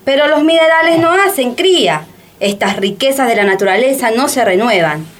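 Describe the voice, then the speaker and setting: A woman's voice: high voice, lively intonation, normal speed. A woman playing an impassioned, well-informed denouncer in radio drama dialogue, emphatic and rhetorical. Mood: indignant.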